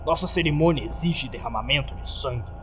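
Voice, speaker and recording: A man's voice: mid-range voice, brisk, fairly bright tone, close to an everyday voice. Man voicing a menacing, snarling cult leader. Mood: angry, threatening. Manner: snarling, domineering. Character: menacing, scornful male cult leader barking orders. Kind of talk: dramatic horror story reading. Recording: faint background noise.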